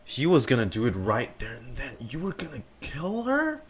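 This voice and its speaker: A man's voice: mid-range voice, huge pitch swings, moderate pace, a little hiss or hum. A man streaming a video game, giving casual, exasperated reaction commentary full of swearing and muttered asides.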